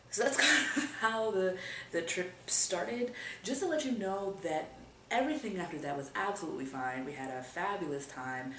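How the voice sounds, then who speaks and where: A young adult woman telling a personal story in a casual, animated way, laughing now and then. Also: extremely low pitch, far from the mic. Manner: animated, laughing often, lively emphasis. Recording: roomy echo, voice a bit off-mic, faint room noise.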